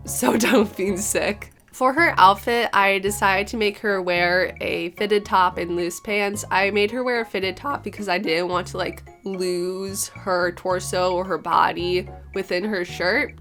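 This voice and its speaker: A young woman narrating her drawing process in a chatty, rambling, apologetic way, her voice a little hoarse after being sick. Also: medium pitch, fairly bright tone. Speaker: art channel host. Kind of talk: casual voice-over narration of a drawing.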